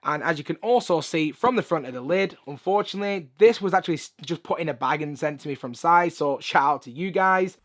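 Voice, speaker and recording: Hoarse man reviewing sneakers. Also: a little high, animated, brisk, few pauses. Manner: chatty, upbeat, lots of filler phrases. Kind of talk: casual video review. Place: room with shoe collection.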